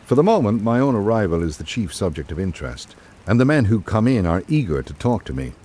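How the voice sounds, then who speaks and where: An actor playing a reflective literary traveller, narrating a radio drama in a measured, formal way. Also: male voice, fairly low pitch, big pitch swings, warm tone. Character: reflective literary traveller recalling island life. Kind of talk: scripted first-person narration.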